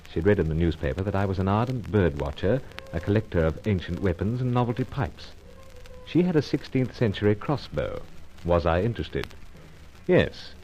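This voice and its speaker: An actor playing a suave, sardonic British secret agent with a clipped, dryly nonchalant delivery in radio spy serial style. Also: male voice, low voice, darkish tone, muffled recording. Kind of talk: old-time radio spy serial acting.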